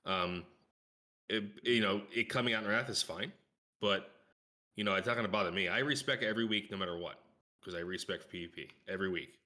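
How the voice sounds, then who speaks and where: A man's voice: on the low side, a little fast, sharp tone. A man chatting casually on a gaming podcast, offering his opinions in a matter-of-fact way. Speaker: podcast guest or co-host.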